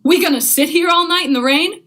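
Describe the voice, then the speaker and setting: A woman's voice: extremely high pitch, brisk, fairly bright tone. A young woman acting an uneasy, grumbling male accomplice in vintage radio drama style, urgent and slangy. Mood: irritated. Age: young adult. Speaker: student actor.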